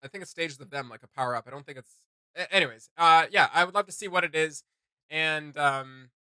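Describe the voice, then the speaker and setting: A man co-hosting a reaction video, talking in a casual, animated, rambling and playfully emphatic way. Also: on the high side, fairly quick, smooth, dry recording. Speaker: co-host of a reaction video channel. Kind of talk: casual chatty reaction commentary.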